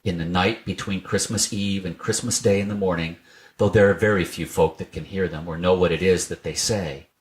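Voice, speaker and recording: A man narrating a children's storybook in an expressive, scripted reading style. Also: low-pitched, a little fast. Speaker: storybook reader.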